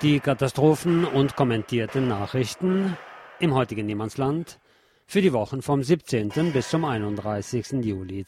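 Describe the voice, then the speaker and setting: A man's voice: mid-range voice, noisy background. A man presents scripted news as a commentator, adding personal asides.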